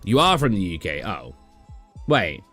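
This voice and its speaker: A man's voice: sits low, huge pitch swings, measured pace, fairly bright tone, faint music behind. A young man from England, chatting casually on a live stream and answering chat questions in a tired, rambling way. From southern England. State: tired, low energy.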